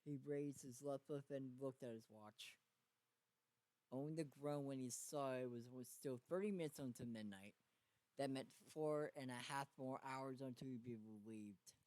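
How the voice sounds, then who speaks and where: A man narrating a story dramatically, with a halting delivery that stumbles and repeats. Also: medium pitch, darkish tone, no room sound, rough recording. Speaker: fan fiction reader.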